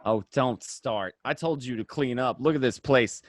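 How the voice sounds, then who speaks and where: A man's voice: medium pitch, normal speed, lightly acted. A man playing a talkative, edgy gangster in a radio drama, with a conversational way of talking. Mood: exasperated. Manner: animated, conversational, repeats himself. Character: talkative, edgy male gangster recounting and scheming. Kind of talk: film script read as radio drama.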